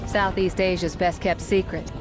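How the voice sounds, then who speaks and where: A woman playing a brisk, no-nonsense American intelligence agent in a video game cutscene. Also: lowish voice, little pitch movement, over music, natural voice. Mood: businesslike briefing.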